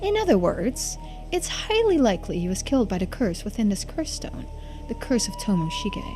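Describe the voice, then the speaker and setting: A woman's voice: a little high, huge pitch swings, normal speed, over music. A woman reading video game dialogue aloud expressively as a streamer, playing out the characters. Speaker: streamer voicing a game playthrough.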